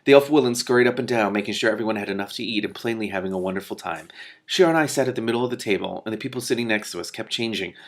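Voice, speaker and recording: A man reading a fantasy story aloud in an animated, informal way, now and then correcting himself. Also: fairly low pitch, very fast. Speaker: reader and commentator. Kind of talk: expressive storybook read-aloud.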